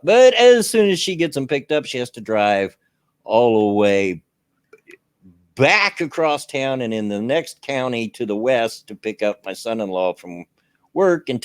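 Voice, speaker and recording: A middle-aged man with a Southern US accent hosting a casual livestream chat from his bedroom, loud and carrying, laughing often. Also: medium pitch, animated, moderate pace, dry recording, studio quality. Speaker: host. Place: bedroom at home.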